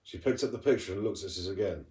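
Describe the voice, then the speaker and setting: Male storybook reader, lowish pitch, expressive teacher delivery, roomy distant sound. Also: fast. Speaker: primary school teacher reading to pupils. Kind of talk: storybook read-aloud. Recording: roomy echo, distant from the mic.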